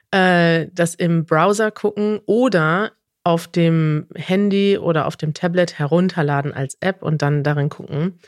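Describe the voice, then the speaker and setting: A woman's voice: on the low side, no room sound, clean studio sound. A woman, co-hosting a casual podcast chat and talking in an emphatic, self-correcting way. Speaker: podcast co-host. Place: new office.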